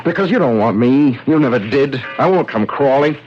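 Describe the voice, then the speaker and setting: An actor playing a bitter, brooding ex-convict still in love, with intense hardboiled delivery in 1970s radio drama style. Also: male voice, mid-range voice, expressive, normal speed, lightly acted. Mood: anguished. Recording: muffled 1970s radio broadcast, music behind.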